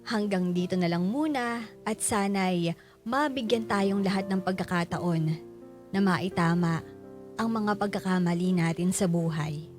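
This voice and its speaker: An actress playing a grateful wife who narrates her life story in a Filipino radio drama, sincere and measured. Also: lowish voice, a little mellow, soft background music, lightly acted. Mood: reflective, grateful.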